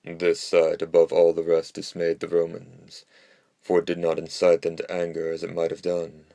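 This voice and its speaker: Male audiobook narrator, low and extremely even, measured delivery for classical history. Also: gravelly, no room sound.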